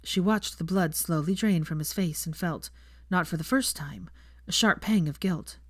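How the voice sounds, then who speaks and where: A woman narrates fiction from a script. Her voice sits a little low for a woman. The recording is dry, with hardly any room sound. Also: moderate pace, no echo.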